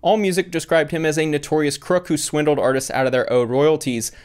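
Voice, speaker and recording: A man's voice: a little high, steady tone, fairly quick, darkish tone, smooth. An American man in his thirties delivering scripted narration as the host of a video essay, close on a desk microphone. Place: small room with bookshelves, indoors. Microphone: desk condenser mic with pop filter.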